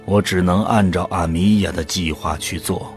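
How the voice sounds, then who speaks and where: A man playing a sombre first-person soldier narrator in a radio drama, telling the story in a measured, subdued way. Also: deep voice, fairly even intonation, soft background music, natural voice. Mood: reflective. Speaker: narrator and protagonist.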